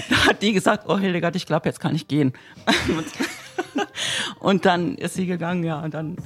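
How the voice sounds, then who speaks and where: A woman in her late fifties from Germany, telling her personal story candidly and with animation, with soft music underneath. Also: lowish voice, a little slow, breathy edge. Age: 50s. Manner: candid and lively, with laughs. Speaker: interviewee.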